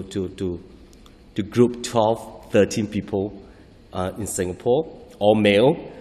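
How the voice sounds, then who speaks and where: Male actor, low voice, hesitant restarts, long hall echo. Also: darkish tone. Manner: halting, searching for words, frequent restarts. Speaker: stage actor. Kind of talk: interview answers.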